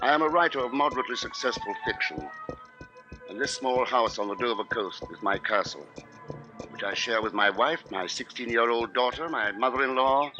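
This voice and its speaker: An actor playing a troubled middle-aged writer recounting strange events in a deliberate, literary first-person 1950s radio drama narration. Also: male voice, medium pitch, sing-song, lo-fi sound, close to an everyday voice. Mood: wry, fond. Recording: 1950s radio broadcast with loud music.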